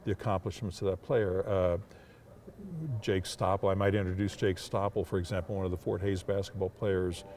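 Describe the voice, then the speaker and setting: Older male announcer in interview mode: low, very mellow voice, thoughtful, halting, formally worded delivery. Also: little pitch movement. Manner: hesitant, deliberate, formal wording. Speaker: sports public address announcer. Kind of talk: reflective interview talk.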